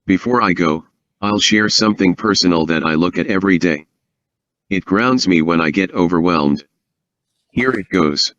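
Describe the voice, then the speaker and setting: A man gives a prepared closing statement in a steady, measured voice that sits very low. The sound is dead dry and muffled, as if recorded lo-fi with no room around it. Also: dry recording. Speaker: interview guest and author.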